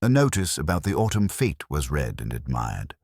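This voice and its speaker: A man narrating a detective story in a measured, dry, formal storytelling manner. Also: very deep voice, very animated, darkish tone, breathy edge, dry recording. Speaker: audiobook narrator.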